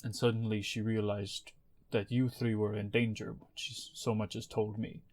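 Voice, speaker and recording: A man's voice: fairly low pitch, little pitch movement, moderate pace. A man narrating a tabletop game as game master, telling events earnestly with small fillers. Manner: soft-spoken, earnest storytelling with fillers.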